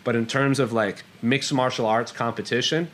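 A man's voice: mid-range voice, steady tone, moderate pace, a bit bright. Man co-hosting a casual fight talk show. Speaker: co-host of an MMA Q&A show. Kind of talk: casual sports talk, fielding fan questions.

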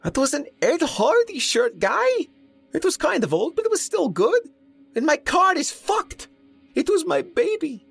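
Whiny young slacker, very high-pitched, sulky, babbling, shocked, audiobook character, music behind. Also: male voice, wildly swooping pitch, unhurried, vocal fry, a bit bright, no room sound, exaggerated voice. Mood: sulky, distraught. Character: whiny, shocked young dude sulking over his car.

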